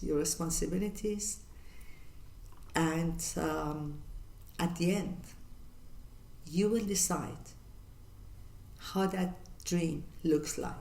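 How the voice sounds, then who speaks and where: An older woman from northern Iran with a Persian accent, hosting her channel and answering viewer questions in an earnest, measured way with many pauses. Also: on the low side, creaky, dark tone, roomy sound. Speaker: channel host. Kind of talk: reflective Q&A monologue.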